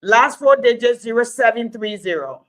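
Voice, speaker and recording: A woman hosting a call-in talk show, brisk and polite with callers. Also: fairly high pitch, expressive, fairly bright tone.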